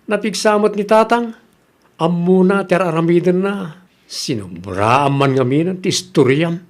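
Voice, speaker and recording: Man playing creaky, animated elderly Ilocano father. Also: sits high, unhurried. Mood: firm, questioning. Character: elderly Ilocano father, homely, plain-spoken, gently stubborn. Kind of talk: Ilocano comedy radio drama acting.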